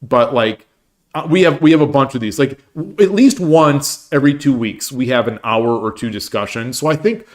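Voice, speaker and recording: Man, livestream host, casual rambling chat, lots of restarts, mid-pitched voice. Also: lively intonation, normal speed. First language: English. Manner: rambling, many restarts and fillers. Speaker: streamer and host.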